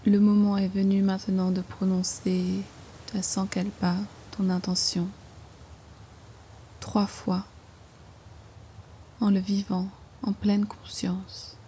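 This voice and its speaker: Female meditation guide, dark soft tone, level pitch, hushed phrase endings, faint ambient noise behind. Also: monotone, takes it slowly, pauses often. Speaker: yoga nidra guide. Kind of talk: guided meditation, slow soothing instruction.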